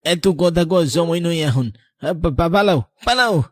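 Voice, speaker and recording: A man's voice: fairly high pitch, animated, normal speed, no room sound, clean studio sound, natural voice. A man voicing a mysterious middle-aged tea stall keeper in a horror cartoon, scolding and warning insistently in a rural Bengali dialect. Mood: urgent.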